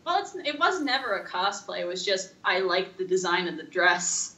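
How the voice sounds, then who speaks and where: A woman co-hosting a casual podcast, rambling through stories in an animated, informal way. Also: lowish voice, moderate pace, echoey room. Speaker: podcast co-host. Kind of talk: casual chat.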